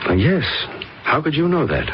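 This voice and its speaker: A man's voice: fairly high pitch, wildly swooping pitch, moderate pace, a little hiss or hum. An actor in a vintage radio drama playing a puzzled man who keeps questioning a witness. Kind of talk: 1970s radio drama acting. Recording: dull vintage radio recording.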